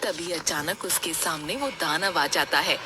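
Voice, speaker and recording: A woman's voice: low voice, measured pace. A woman giving scripted storytelling narration for a cartoon fairy tale.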